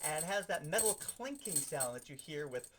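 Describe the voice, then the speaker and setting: Animated, higher-voiced man giving a hands-on demo. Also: moderate pace, noisy background. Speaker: sound effects instructor. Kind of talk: hands-on instructional demonstration.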